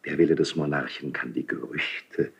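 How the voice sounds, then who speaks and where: A man's voice: extremely low pitch, steady tone, normal speed, gravelly, slightly rough, heavily acted. A man acting an insinuating, obsequious royal priest in a 1970s German radio play, solemn and classical in delivery. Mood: hushed, beseeching. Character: insinuating, unctuous royal priest, feigning reluctance while accusing.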